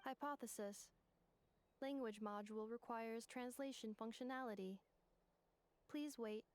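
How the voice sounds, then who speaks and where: A woman playing a calm, logical young female robot in a game, with clipped, formal, machine-like phrasing. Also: on the high side, measured pace, close to an everyday voice. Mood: analytical.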